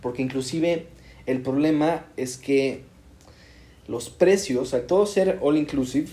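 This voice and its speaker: A man's voice: fairly high pitch, slow, mellow. A Mexican man in casual chat, reflecting and explaining at length.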